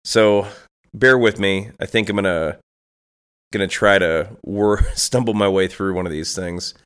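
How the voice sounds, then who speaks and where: A man's voice: low-pitched, steady tone, measured pace, fairly bright tone, clean tone. A man hosting his own podcast, congested from allergies, rambling through a reflective solo talk and thinking aloud as he goes. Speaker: podcast host.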